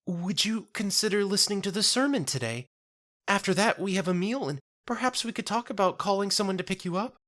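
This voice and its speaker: A man voicing an earnest, gentle young church woman in a soft, lilting, formally polite way for an audio story. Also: high voice, expressive, fairly quick, voice pushed up. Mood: sweetly earnest. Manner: formal, quaintly polite speech.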